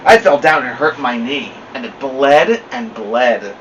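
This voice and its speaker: Man playing a proud, loud little girl. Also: mid-range voice, animated, a little slow, distant mic, muffled recording, a little hiss or hum. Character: proud, attention-loving little preschool sister.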